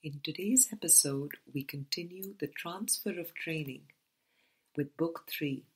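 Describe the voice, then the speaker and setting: A woman reading a spiritual book aloud as scripted narration, in a deliberate manner with pauses between phrases. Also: low voice, slightly rough, low-quality recording. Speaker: audiobook reader.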